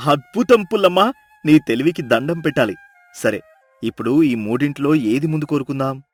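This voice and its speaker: A man voicing a poor, greedy village husband in a storybook drama, talking in a lively, excitable way. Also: on the high side, moderate pace, no echo, faint music behind.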